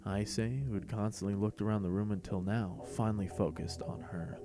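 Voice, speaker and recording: A man's voice: lowish voice, steady tone, fairly quick, over music. A man reading scripted fan fiction as the narrator of an audio story.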